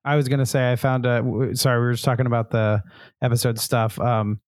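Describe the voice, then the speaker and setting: A man co-hosting a podcast in casual chat, eager to share facts and quick to laugh. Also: medium pitch, little pitch movement, no echo, clean studio sound. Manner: chatty, shares trivia facts, laughs often. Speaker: podcast co-host.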